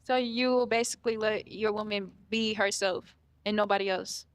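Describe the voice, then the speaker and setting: A woman in her thirties, a dating show contestant talking in a relaxed, self-assured way. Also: mid-range voice, moderate pace, no echo. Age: 30s. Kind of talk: casual conversation.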